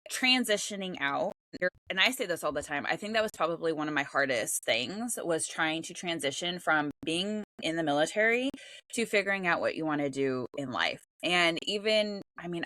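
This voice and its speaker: A woman hosting an interview speaks in a notably deep voice and answers her guest in a reflective, affirming way. Her recording sounds close and dry, with no room around it. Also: a bit bright, no room sound. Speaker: podcast host. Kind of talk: casual interview talk.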